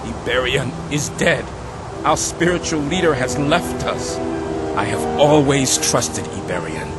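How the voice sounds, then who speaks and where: An actor playing a grave, earnest elder of a templar cult in a video game, speaking in a measured, formal way. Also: male voice, mid-range voice, expressive, close to an everyday voice. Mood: grave, concerned. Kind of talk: video game dialogue acting. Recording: loud music over the voice.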